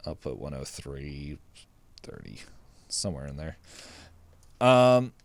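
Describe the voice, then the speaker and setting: A man's voice: very deep voice, big pitch swings, takes it slowly, creaky, no echo. A man co-hosting a podcast in casual chat, hesitant and rambling as he thinks aloud. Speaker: podcast co-host.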